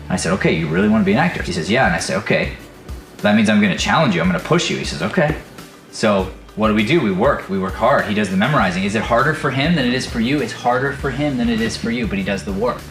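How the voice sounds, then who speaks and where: A man's voice: on the low side, lively intonation, quick pace, fairly bright tone, faint music behind. A man hosting a podcast and telling motivational stories in an earnest, emphatic, conversational way. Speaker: podcast host and acting teacher. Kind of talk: casual podcast talk and motivational storytelling.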